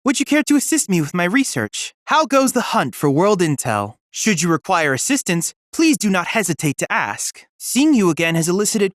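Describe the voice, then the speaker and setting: A man's voice: high-pitched, very animated, normal speed, few pauses, no echo, clean studio sound. An actor voicing a polite, bookish young android boy researcher in a video game, speaking with formal, precise and earnest diction. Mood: eager, warm. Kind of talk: scripted video game character dialogue.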